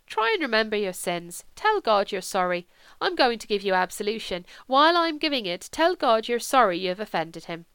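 A woman voicing a simple, genial male priest in an audiobook, plain-spoken, gently coaxing and reassuring. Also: medium pitch, very animated, fairly quick, few pauses, smooth, no echo. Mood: solemn urging. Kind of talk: audiobook character dialogue.

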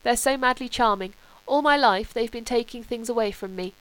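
A woman's voice: a little high, moderate pace, no echo, voice pushed up, close to an everyday voice, rough recording. A woman voicing a moody, wry young upper-class male student. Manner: languid, wry, turning curt. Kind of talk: audiobook character voice.

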